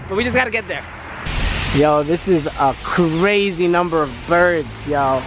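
A young man chatting casually to his vlog camera in slangy, excitable talk. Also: fairly high pitch, measured pace, background noise. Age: young adult. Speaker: vlogger.

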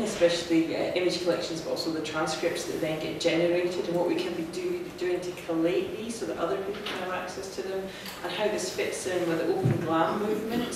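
A woman's voice: lowish voice, far from the mic. A woman on a conference panel speaking fluently and earnestly into a handheld mic. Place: conference room, seated at panel table. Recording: echoey room heard from afar, noisy.

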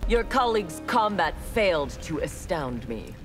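A woman's voice: on the low side, very animated, moderate pace, faint music behind. A woman voicing a proud, ruthless young female ninja warrior in a video game, speaking in a cold, haughty, clipped way.

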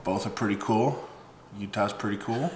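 A man's voice: on the low side, moderate pace. A man of twenty-nine from Hawaii, chatting casually at home in short, plain answers. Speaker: vlogger. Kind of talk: casual chat. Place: bright home kitchen, indoors. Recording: echoey room with faint noise.

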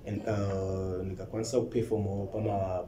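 Deep-voiced, mellow Zimbabwean young man. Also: little pitch movement, a little fast, soft background music. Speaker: musician guest. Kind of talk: studio interview. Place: indoor TV studio. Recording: roomy studio with faint noise.

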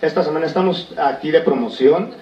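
A man's voice: fairly high pitch, brisk, a little mellow. A man from Michoacán, a band member promoting his group, talking eagerly into a handheld mic. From Michoacán, Mexico. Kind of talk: promotional interview talk. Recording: roomy, distant, dull sound.

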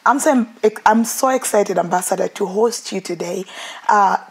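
A woman's voice: medium pitch, normal speed, gravelly, husky. A woman, hosting a TV talk show with an enthusiastic, effusive manner, on a lapel mic. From Zimbabwe. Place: indoor TV studio set, seated in armchair.

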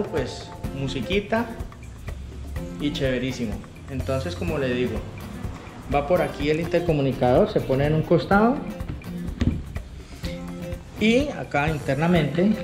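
A man in his forties with a Colombian accent, giving a casual product review to camera as a motorcycle vlogger. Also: a little high. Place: living room at home, indoors. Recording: roomy echo, music underneath.

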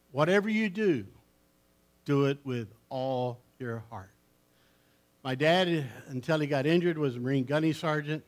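An older man, a pastor, preaching a story-filled sermon in a church sanctuary, rising loud on key phrases and dropping low, recorded from a camera at the back. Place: church sanctuary with seated congregation. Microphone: far camera at the back of the church.

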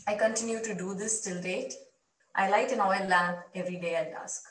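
A woman in her thirties telling a reflective personal story to camera in measured, deliberate phrases, recorded from a distance. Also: medium pitch, steady tone, a bit bright. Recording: roomy, distant microphone via video playback.

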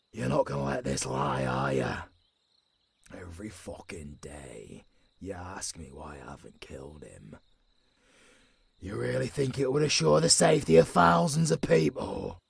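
A man with a British accent voicing a gruff, haunted old werewolf warrior in an audio roleplay, with a husky growl and a brooding delivery that drops toward a whisper. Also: low-pitched, no echo, heavily acted. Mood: gruff, challenging. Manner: slow, brooding, half-whispered with sudden gruff rises. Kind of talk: dramatic ASMR audio roleplay.

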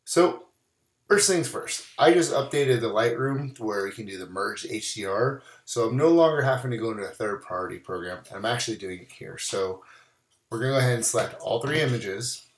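A man's voice: medium pitch, brisk, roomy sound. A man still getting his voice back after bronchitis, casually walking through a photo edit in a screen-recorded tutorial. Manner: casual, relaxed walkthrough with many "we're gonna". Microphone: voice-over on screen recording.

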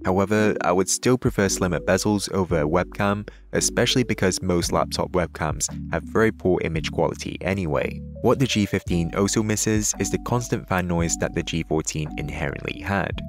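A man with a notably deep voice talks through a gadget review in a measured, even manner. It's a scripted voice-over, recorded cleanly on a studio microphone. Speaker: tech reviewer.

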